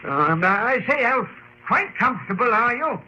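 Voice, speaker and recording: Jittery posh gent, very bright voice, stammery, old lo-fi radio. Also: male voice, very high voice, big pitch swings, a little slow, heavily acted. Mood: uneasy. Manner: stammering, fussy bluster with dated British slang. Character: nervous, blustering English titled gentleman. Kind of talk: 1950s radio drama acting. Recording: lo-fi old radio, faint noise.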